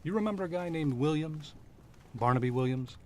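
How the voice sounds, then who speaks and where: An actor playing a cool, curt private investigator who talks and narrates in a businesslike 1940s radio noir style. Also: male voice, fairly high pitch, animated, a little fast, slight background noise, close to an everyday voice. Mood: probing, unruffled. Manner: clipped, businesslike, unruffled.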